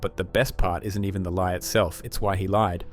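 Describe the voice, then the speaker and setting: A man's voice: deep voice, steady tone, fairly quick, faint music behind. A man narrating a scripted commentary in a dramatic, teasing manner.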